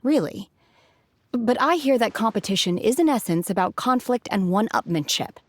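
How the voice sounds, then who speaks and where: A woman voicing an aloof, solemn young adept in a video game, speaking in a formal, measured, deadpan way. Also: on the high side, no echo. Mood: coolly matter-of-fact. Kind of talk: restrained video game character acting.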